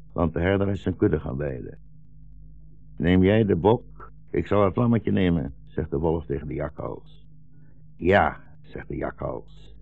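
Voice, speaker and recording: An actor playing a weary, gentle old blind rabbi in a 1960s radio drama, speaking slowly and solemnly as a philosophical storyteller. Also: male voice, deep voice, vocal fry, exaggerated voice. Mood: grave. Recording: dull, roomy 1960s radio recording.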